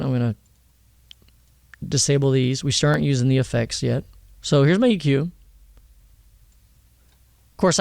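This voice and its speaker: Man, thin voice, smooth and clear, dry close sound, casual rambling mixing tutorial. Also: fairly high pitch, no room sound. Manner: chatty, rambling, frequent "all right?" and "okay?". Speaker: music producer teaching vocal mixing. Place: home studio.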